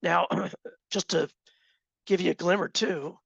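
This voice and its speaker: Gravelly, slightly hoarse male instructor. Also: sits high, steady tone, takes it slowly, a bit bright, dry recording, lo-fi sound. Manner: conversational, asks the class questions. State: slightly hoarse, clearing throat. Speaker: trading instructor. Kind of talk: teaching a live class.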